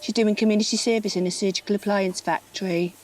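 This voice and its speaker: An actress playing a prim, dim, officious shop assistant in a comedy audio play, matter-of-fact, suspicious and gossipy. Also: female voice, medium pitch, fairly even intonation, fast talker, darkish tone, slight background noise, lightly acted. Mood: indignant, gossipy.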